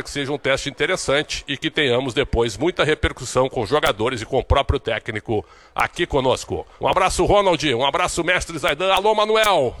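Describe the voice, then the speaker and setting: A man from Brazil giving a recorded sports report in a steady, informative manner. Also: fairly high pitch.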